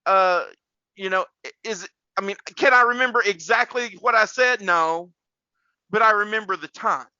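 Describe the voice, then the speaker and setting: A man's voice: sits high, moderate pace, sharp tone, clear, resonant tone, dry recording, lo-fi sound. A man hosting a casual faith podcast like a preacher, rambling and repeating words for emphasis.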